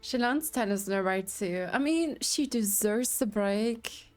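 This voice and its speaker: A woman's voice: mid-range voice, lively intonation, a little slow, over music. A woman giving casual live commentary on a game, in short, giggly remarks. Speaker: game reaction streamer.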